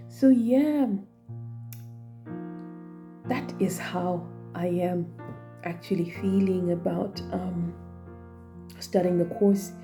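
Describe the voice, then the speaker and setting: A woman in her forties with a Zimbabwean accent speaks in a slow, unhurried way, pausing often and reaching for words. Her voice sits a little low and has a gravelly edge. Plucked guitar music plays clearly underneath her. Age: 40s. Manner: thoughtful, unhurried, with many pauses and fillers. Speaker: vlogger and trainee teacher. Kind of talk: reflective personal vlog.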